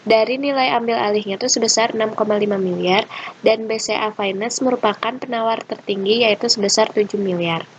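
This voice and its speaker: A woman's voice: on the high side, fairly quick, rough recording. A young woman giving an explanatory class presentation as a student. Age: young adult. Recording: clear background ambient noise.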